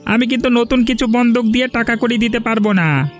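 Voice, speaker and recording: Man playing a high-pitched pompous village landlord. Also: a little fast, faint music behind. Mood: skeptical, questioning. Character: self-important male village landlord, grudging but obliging. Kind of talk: Bengali moral story cartoon dubbing.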